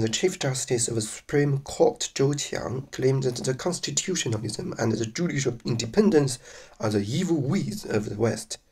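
A man from mainland China, a native Chinese speaker with a Chinese accent, narrating slides on legal procedure in a careful, measured way with some restarts. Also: medium pitch, darkish tone, slightly rough.

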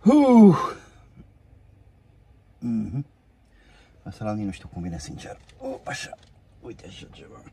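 A man's voice: lowish voice, huge pitch swings, creaky, pauses often, dark tone, slight background noise. A middle-aged man in a parked car, muttering to himself and coaxing the parts while he works on the dashboard. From Romania. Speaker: DIY car vlogger. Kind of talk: casual self-talk while working. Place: inside a parked car, outdoors.

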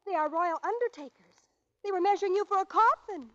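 A woman's voice: extremely high pitch, brisk, dry recording. An actress playing a lovestruck, possessive harem girl in vintage radio comedy, ardent and melodramatic. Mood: warning, urgent. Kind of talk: 1940s radio comedy acting. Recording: muffled noisy old radio recording.

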